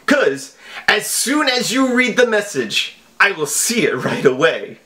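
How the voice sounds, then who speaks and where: An evil villain hacker with a made-up foreign accent. His voice is high and swoops widely, and he delivers threats slowly and theatrically in broken English, with boastful menace. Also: sing-song, bright, forceful tone, exaggerated voice. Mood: menacing. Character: evil villain hacker, menacing, over-dramatic male scammer.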